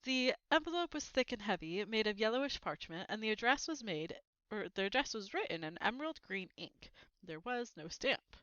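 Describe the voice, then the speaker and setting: A woman's voice: medium pitch, sing-song, normal speed, a bit bright, dry recording, highs cut off. A woman reading a storybook aloud as narrator, expressively.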